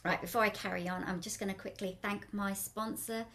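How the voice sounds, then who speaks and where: A woman hosting a radio talk show as a life coach, upbeat and chatty, her voice still croaky. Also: mid-range voice, steady tone, a little fast, a bit bright.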